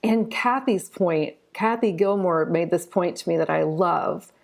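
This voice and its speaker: Woman with a creaky voice, talking earnestly. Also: on the low side, a little slow. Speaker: interview guest, author. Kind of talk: conversational interview.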